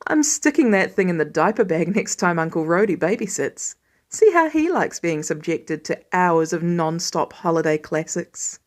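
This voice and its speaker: A woman's voice: fairly low pitch, sing-song, normal speed, voice pushed down. A woman voicing a tired, sarcastic, doting new father with dry, exaggerated grousing in an audiobook story. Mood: sarcastic grumbling.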